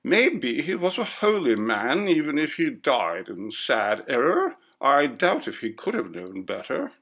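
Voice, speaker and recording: Mild, high-pitched pious gentleman, acted by a man. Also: huge pitch swings, full character voice. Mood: gently troubled. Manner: measured, conciliatory, old-fashioned speech. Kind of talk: dramatised ghost story reading.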